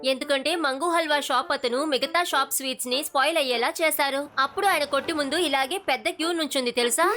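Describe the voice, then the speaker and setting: A chatty cartoon kid with a high, bright voice. The child is boastful and self-satisfied. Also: female voice, fast, hardly any pauses. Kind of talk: cartoon dubbing.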